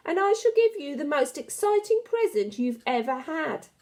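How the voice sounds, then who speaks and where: Woman as storybook witch, high-pitched, sinister, coaxing, wildly varied intonation. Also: very animated, normal speed, a little mellow. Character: sinister, coaxing witch luring a little boy. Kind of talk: storybook character reading.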